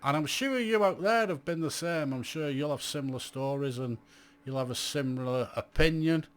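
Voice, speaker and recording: A man's voice: fairly high pitch, moderate pace, clean tone. A man in his late fifties, a radio amateur looking back on his hobby in a blunt, chatty monologue full of "you know" asides. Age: 50s. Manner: blunt, chatty, self-described grumpy, lots of "you know". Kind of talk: reflective casual monologue to camera.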